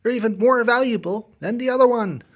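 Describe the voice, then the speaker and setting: A man voicing a greedy, scheming little lizard-like alien scavenger in a storybook, gloating and excitable. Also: extremely high pitch, a little fast, voice pushed up.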